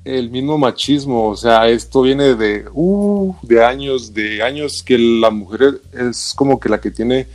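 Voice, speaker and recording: A man's voice: medium pitch, a little slow, few pauses, a little mellow. Informal Guatemalan man chatting over faint music. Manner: informal, colloquial storytelling with tag words. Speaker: interview guest, nutritionist. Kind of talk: casual chat, personal anecdotes.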